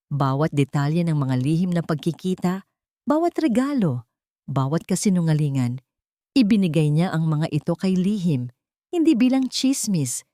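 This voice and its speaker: A woman's voice: deep voice, mellow, no room sound, studio quality. A woman with a Filipino accent narrating a scripted romance drama in a dramatic storytelling style.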